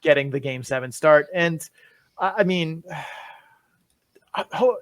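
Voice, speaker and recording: A man's voice: a little high, slow, no room sound. A young man co-hosting a hockey podcast, chatting casually and rattling off lists of players. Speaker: podcast co-host.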